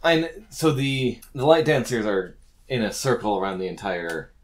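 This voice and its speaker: A man narrating a casual tabletop game as game master, explaining things in a halting way. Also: fairly low pitch.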